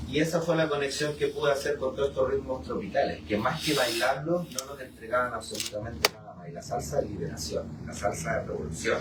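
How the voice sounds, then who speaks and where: A man's voice: medium pitch, brisk, hardly any pauses. A man speaking reflectively in a group discussion, repeating phrases for emphasis as he leads the talk. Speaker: musician leading a discussion. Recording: echoey room, distant mic, clear noise.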